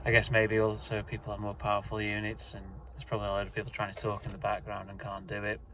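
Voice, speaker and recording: A man's voice: fairly low pitch, monotone, quick pace, pushed and bright, a little hiss or hum, rough recording. A man giving a casual, mild and self-deprecating vlog talk. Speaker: video host.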